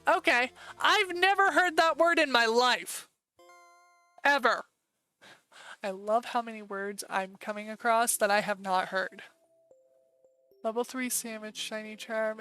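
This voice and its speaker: Smooth-voiced chatty American woman streamer. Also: on the high side, bright, forceful tone, faint music behind. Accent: American English. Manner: chatty, thinking aloud, quick asides. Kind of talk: casual live-stream commentary.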